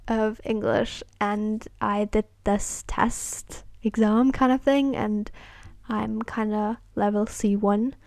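A woman's voice: mid-range voice, fairly even intonation, slow, a little mellow, no room sound, studio quality. An eighteen-year-old woman hosting a casual solo podcast on camera. She rambles hesitantly with many "like" fillers and apologizes self-consciously. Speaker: podcast host.

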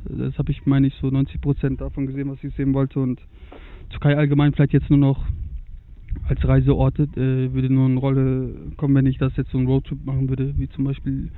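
A young man from Germany, chatting as a guest about travel, hesitant, with many fillers. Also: medium pitch. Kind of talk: casual conversation about travel. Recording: tinny lo-fi phone-like sound.

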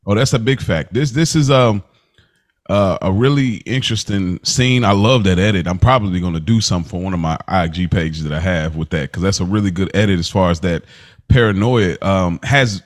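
A young man with a fairly low voice talks things through in an easygoing, reflective way. He keeps the chat moving with frequent murmurs of agreement. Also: a little fast. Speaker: podcast co-host. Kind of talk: casual chat.

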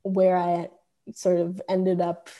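Young adult female interviewee. Relaxed, low-key tone with flat intonation, conversational. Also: slow. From Japan. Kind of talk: casual conversational personal account.

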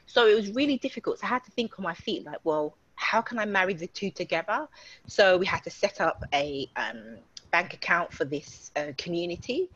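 Guest, British-born woman, lowish voice, chatty and filler-heavy, muffled video-call audio. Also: lively intonation, brisk. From United Kingdom. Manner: chatty, warm storytelling with many fillers. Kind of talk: casual online interview.

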